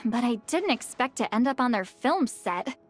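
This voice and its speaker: A high, swooping young female voice over soft music. It is an earnest, expressive video game character, with every line animated. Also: moderate pace. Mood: whiny, flustered.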